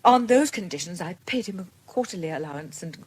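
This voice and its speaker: An actress playing an anxious, wronged wife in a radio drama, wistful and restrained, with a British accent. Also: female voice, on the low side, expressive, fairly quick, husky. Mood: guarded, recounting. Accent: British English. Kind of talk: BBC radio drama acting.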